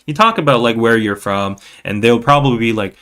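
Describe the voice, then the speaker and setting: A man hosting a casual livestream, talking in a playful, rambling way with halting restarts and replies to viewers. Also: mid-range voice, a bit bright, clear, resonant tone. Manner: playful, jokey, often chuckling. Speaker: podcast livestream host. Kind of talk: casual livestream chat, reading viewer comments.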